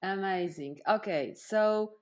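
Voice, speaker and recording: A woman's voice: medium pitch, slow. A woman with a Polish accent hosting a conversational interview in a friendly, playful way. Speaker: podcast host.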